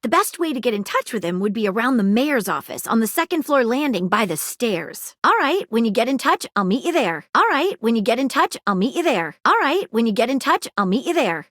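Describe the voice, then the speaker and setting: A woman voicing an earnest, flirtatious female detective in a video game, with formal, theatrical, deliberate phrasing. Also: on the high side, dry recording, studio quality. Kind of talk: scripted game character dialogue.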